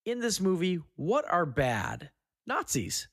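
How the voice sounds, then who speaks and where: A man's voice: high voice, wildly swooping pitch, slow, no room sound, studio quality. A man hosting a film podcast, talking in a casual, conversational way with some offhand swearing. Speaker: podcast host.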